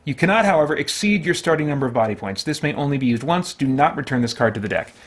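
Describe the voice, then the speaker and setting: A man's voice: medium pitch, lively intonation, quick pace. A man hosting a solo tabletop game, talking casually and thinking aloud in a rambling way as he plays. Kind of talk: casual game play-through commentary.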